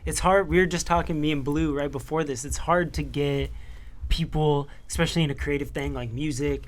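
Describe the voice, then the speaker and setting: A man hosting an interview podcast, asking questions in a relaxed, slangy way. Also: a little high, a little fast. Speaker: podcast host. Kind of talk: casual interview.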